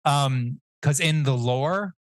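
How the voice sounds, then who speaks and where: A man's voice: mid-range voice, takes it slowly, dry recording. A man, a guest on a podcast, telling a rambling, jokey story in casual chat with self-mocking asides. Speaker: guest comic writer.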